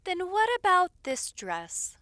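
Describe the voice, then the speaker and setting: A woman playing a caring, brisk palace maid in an audio roleplay, speaking with polite formality and fussing reassurance. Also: very high-pitched, wildly swooping pitch, pushed and bright, no room sound, clean studio sound, full character voice. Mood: brisk, indulgent.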